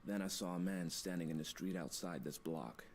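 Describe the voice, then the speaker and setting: A secretive man on the run in a visual novel, with a very low voice. He tells his tale in measured, colloquial phrases, over faint background noise. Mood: wary, tense. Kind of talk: dramatic storytelling in a visual novel.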